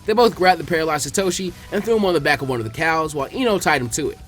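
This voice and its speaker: A man narrates an action story in a fairly high voice with highly varied intonation. It is a scripted, dramatic audiobook reading, with music playing underneath. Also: animated, racing, fairly bright tone. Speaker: fan fiction reader.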